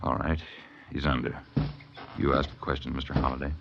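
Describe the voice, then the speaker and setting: An actor playing a calm, authoritative psychiatrist with measured, formal speech in a 1940s radio drama. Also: male voice, a bass voice, gravelly. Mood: calm, clinical. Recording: dull vintage radio recording.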